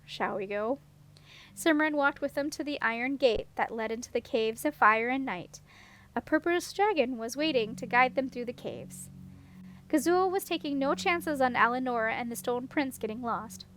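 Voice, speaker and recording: A woman's voice: high-pitched, lively intonation, normal speed, fairly bright tone, a little hiss or hum. A woman reading a children's fantasy story aloud as scripted narration. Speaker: audiobook reader.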